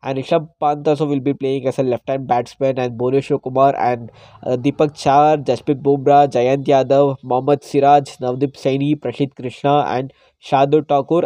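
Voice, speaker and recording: A man, hosting a casual solo podcast, hoarse from a cold and pausing often with fillers. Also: medium pitch, dark tone, no room sound. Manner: hesitant, frequent "uh" fillers. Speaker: podcast host.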